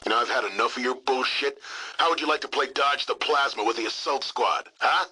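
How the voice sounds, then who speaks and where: An actor playing an irritable, foul-mouthed military communications operator in a video game, snide and wisecracking. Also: male voice, mid-range voice, fairly quick, pushed and bright, breathy edge. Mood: irritated, threatening.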